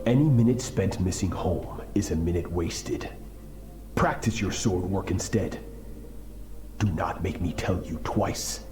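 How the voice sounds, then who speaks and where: An actor playing a cold, merciless sword master in a video game, speaking in a solemn, commanding and deliberate manner. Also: male voice, sits low, reverberant, music in the background. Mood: cruel, stern. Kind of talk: dramatic video game voice acting.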